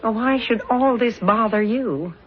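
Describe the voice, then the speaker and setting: A woman's voice: fairly high pitch, unhurried, soft background music, close to an everyday voice. An actress playing a shrewd, long-suffering policeman's wife, knowing and drily teasing, in 1970s radio drama style. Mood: curious, observant. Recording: dull lo-fi radio drama recording.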